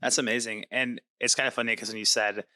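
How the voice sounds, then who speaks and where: Male podcast host, mid-pitched and strikingly bright, punchy tone, upbeat casual interviewer. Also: flat delivery, fast talker.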